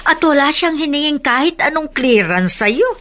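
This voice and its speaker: A woman's voice: high-pitched, expressive, moderate pace, a bit bright, a little hiss or hum. An actress playing a kindly middle-aged housekeeper in a Tagalog radio drama, motherly and deferential yet probing, sometimes hesitant. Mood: reassuring.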